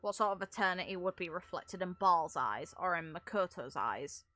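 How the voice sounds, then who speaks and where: A woman's voice: fairly low pitch, lively intonation, moderate pace, bright, forceful tone. A woman chatting casually as she streams a video game, rambling in a wry, mildly sweary way. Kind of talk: casual live stream chat while playing a video game. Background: game music.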